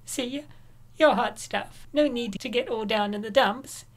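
A woman voicing a pushy, upbeat young best friend who coaxes and cheers. Her voice is a little higher than average and her delivery is brisk. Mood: coaxing. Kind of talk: comic dub reading.

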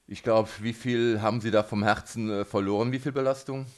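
Halting male sports radio host. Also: on the low side, fairly even intonation, a little hiss or hum. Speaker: radio host interviewing a football coach. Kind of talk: local sports radio interview.

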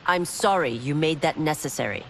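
A resolute guard captain. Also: female voice, deep voice, normal speed, loud music behind the voice. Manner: earnest, resolute. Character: loyal determined female guard captain.

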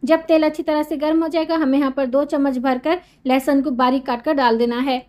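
A woman with a high, light voice talks through a recipe in a voice-over. She explains each step patiently and steadily, repeating the key instructions. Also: fairly even intonation, fairly quick, darkish tone. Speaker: cooking channel host.

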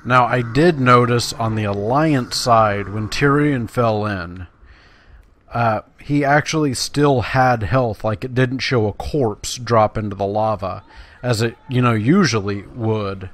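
A man's voice: mid-range voice, takes it slowly, a bit bright. Laid-back man commentating on a game. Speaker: gaming let's play host.

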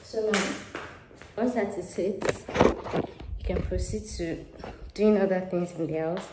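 Young nigerian woman chatting to her camera, echoey empty house, clear noise behind. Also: medium pitch, steady tone, a little slow. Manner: chatty, mixes in Yoruba phrases, talks to viewers while packing. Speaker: vlogger. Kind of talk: casual vlog chat. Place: large empty house with tiled floors, indoors. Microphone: handheld camera at arm's length.

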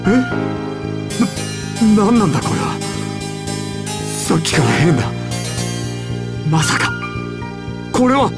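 High-voiced, tormented male crime boss, halting and dramatic, anime game style, over loud music. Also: sing-song, exaggerated voice. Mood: confused, uneasy. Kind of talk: anime video game voice acting.

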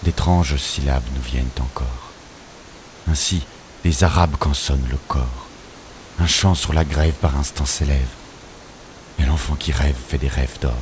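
A man gives a solemn, measured and theatrical recitation of dramatic poetry. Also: rumbling low, background noise. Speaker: poetry reader.

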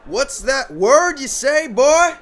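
A drunken bully of a man, acted out by a reader, heckles in a high, forceful bark. He is loud and demanding, snapping out orders in a novel dramatization. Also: lively intonation, takes it slowly, exaggerated voice. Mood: jeering.

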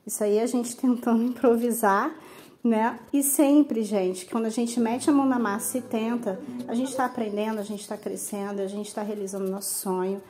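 A woman's voice: fairly high pitch, fairly even intonation, normal speed. A woman in her thirties with a Brazilian accent, talking casually and earnestly as a vlog host, encouraging her viewers. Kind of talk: casual vlog talk and narration.

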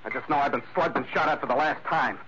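An actor playing a tough, sardonic sea captain in a 1940s radio adventure, speaking tersely. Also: male voice, mid-range voice, fast, pushed and bright, lightly acted. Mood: bitter, fed up. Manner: terse, sardonic, hard-boiled. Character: tough, cynical sea captain, hard-boiled adventure hero. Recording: noisy, dull 1940s radio recording.

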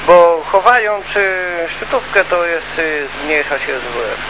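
A man's voice: a little high, measured pace, muffled recording. A man giving advice in a casual, colloquial and repetitive way during a CB radio chat. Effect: CB radio transmission. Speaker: CB radio hobbyist. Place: two-way CB radio conversation. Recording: noisy CB radio transmission.

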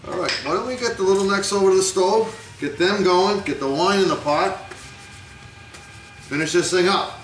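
Relaxed middle-aged man in an echoey kitchen. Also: high voice, normal speed, slight background noise. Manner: relaxed, folksy, instructional with wry jokes. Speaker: home cooking show host. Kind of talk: casual cooking demonstration. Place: home kitchen, pans sizzling. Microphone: camera mic from a distance. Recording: echoey home video from far off.

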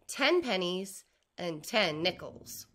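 A woman's voice: on the low side, very animated, slow, background noise. A woman reading the part of a caring, practical mother in a children's storybook, in a helpful manner. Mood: helpful, urging.

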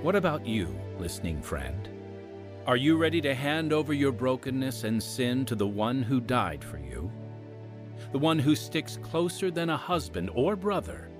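A man's voice: medium pitch, very animated, moderate pace. A man announcing for a radio program in scripted, evangelistic narration. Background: music.

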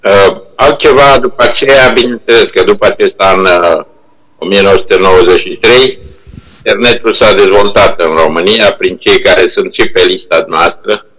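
An older man reminiscing informally over a video call, speaking haltingly as he searches for words. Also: low-pitched, a bit bright, low-quality recording. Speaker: internet company co-founder, remote guest speaker. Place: remote video call played to a meeting room. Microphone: video call shown on projection screen.

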